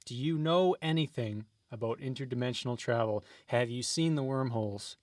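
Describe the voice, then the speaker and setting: An actor playing a brusque, impatient government agent who questions a suspect in a comedy radio play. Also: male voice, mid-range voice, normal speed, darkish tone, no room sound, clean studio sound, lightly acted. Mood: exasperated. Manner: exasperated, brusque, repeats himself.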